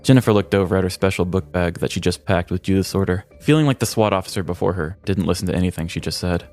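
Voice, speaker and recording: Male podcast host, very low voice, scripted comedic crime narration over a music bed. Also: fast, a little mellow.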